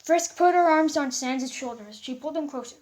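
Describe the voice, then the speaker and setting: A high-voiced woman narrates a fan story as an amateur read-aloud. She reads with stumbles and repeated words. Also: fairly quick, a little mellow.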